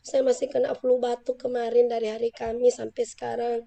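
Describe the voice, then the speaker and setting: Woman teacher, Central Sulawesi Indonesian accent, hoarse from a cold, earnest, flat delivery, mellow tone. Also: fairly high pitch, fairly quick. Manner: polite, earnest, explains at length. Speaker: teacher being coached. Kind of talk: role-play coaching conversation.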